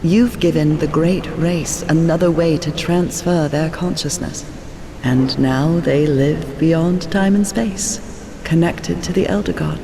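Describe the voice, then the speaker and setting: An actress playing a loving, serene woman from beyond time in a story game, speaking intimately and with gentle, measured reassurance. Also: deep voice, steady tone, vocal fry, background noise, exaggerated voice. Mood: gently explaining. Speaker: character and narrator. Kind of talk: cinematic game voice acting. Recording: long reverb, music underneath.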